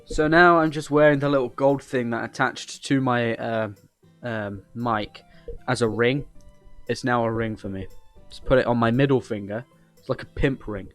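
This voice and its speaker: A man's voice: steady tone, moderate pace, soft background music. A man with an English accent giving casual, rambling gaming commentary in a cheeky, joking manner. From England. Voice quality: deep voice. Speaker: host of a gaming video channel. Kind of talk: casual rambling playthrough commentary, reading game dialogue aloud.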